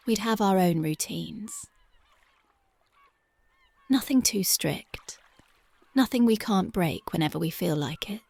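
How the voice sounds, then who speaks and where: A woman playing a husky, whispering punk girlfriend. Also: mid-range voice, lively intonation, takes it slowly, pauses often, darkish tone, dry recording, heavily acted. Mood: tender dreamy. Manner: dreamy, intimate, affectionate. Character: young punk woman, tender devoted girlfriend. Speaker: voice actress. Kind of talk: ASMR roleplay, soft-spoken and whispered. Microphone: close mic.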